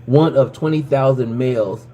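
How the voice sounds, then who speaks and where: A man's voice: medium pitch, normal speed, highs cut off, slight background noise. A man hosting a livestream in a casual, rambling way, leaning heavily on "you know" as he talks. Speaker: livestream host. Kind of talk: casual chat.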